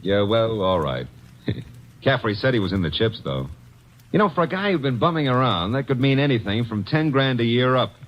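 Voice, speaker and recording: A man's voice: medium pitch, big pitch swings, normal speed, close to an everyday voice. An actor playing a brash, wisecracking young male assistant detective in an old radio drama. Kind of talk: 1940s radio drama acting. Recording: muffled old radio recording.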